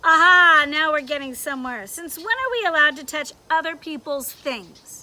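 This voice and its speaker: Woman putting on a bossy, high-pitched scolding conscience. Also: animated, normal speed, bright, forceful tone, full character voice. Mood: mocking scolding. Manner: stern, mocking, interrogating.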